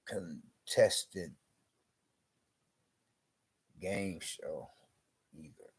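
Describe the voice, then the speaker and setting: A man vlogging slowly and rambling, congested with a scratchy throat, repeating "you know". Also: deep voice, breathy edge. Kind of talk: rambling personal vlog.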